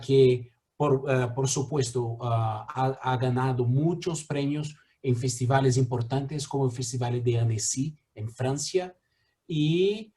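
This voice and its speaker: A man's voice: mid-range voice, a little mellow. A man with a Brazilian Portuguese accent, mediating a talk and introducing a guest with many fillers and restarts.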